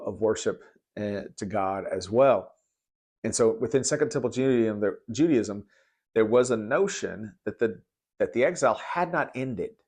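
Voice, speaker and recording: Low-voiced man teaching the Bible. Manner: halting, conversational, repeats words. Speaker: preacher giving Bible commentary.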